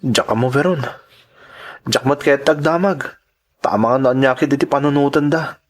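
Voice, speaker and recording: A man's voice: mid-range voice, normal speed, natural voice. A man with a Filipino accent playing an earnest, worried migrant worker in an Ilocano radio drama, speaking in a hesitant, respectful way. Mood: worried, confiding. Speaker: voice actor.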